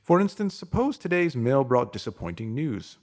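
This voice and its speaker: A man's voice: mid-range voice, very animated, moderate pace, a little mellow. A man narrating a motivational spiritual essay aloud in an earnest, instructive manner.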